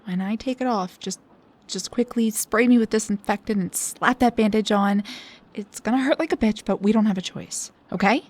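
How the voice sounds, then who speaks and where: A young woman playing a snarky, high-strung research assistant in an intimate audio roleplay, with a halting, stammering, dramatic delivery. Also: darkish tone, close to an everyday voice. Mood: hushed, tense. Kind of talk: intimate one-sided audio roleplay acting.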